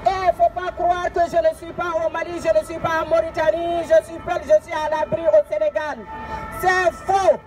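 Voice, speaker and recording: A woman's voice: very high voice, flat delivery, normal speed, loud music behind the voice. A woman activist giving an impassioned protest speech, emphatic and repetitive as she urges her listeners on. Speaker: activist speaker. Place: outdoors at a street demonstration. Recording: noisy outdoor crowd, street traffic. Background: crowd and vehicle noise.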